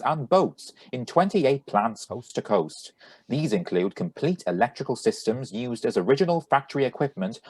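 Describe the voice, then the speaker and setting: A man playing an enthusiastic 1940s radio announcer and sponsor pitchman with a husky voice, read over a video call. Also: darkish tone, dry recording.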